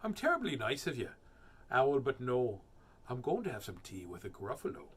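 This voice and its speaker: Storybook mouse, male reader: little, crafty, overly polite, high-pitched, slightly husky. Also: wildly swooping pitch, unhurried, fairly bright tone, exaggerated voice. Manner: exaggerated, over-courteous, with a put-on accent. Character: small polite crafty mouse, boastfully describing a monster.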